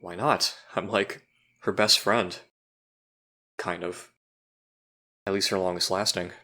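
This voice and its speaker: A man plays a moody small-town teenage boy in an audio roleplay. His voice is very low with a clear bright edge. He talks slowly and haltingly, in casual slang, over faint music. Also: fairly even intonation, a little hiss or hum, full character voice. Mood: dazed, agitated. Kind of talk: audio roleplay acting, conversational.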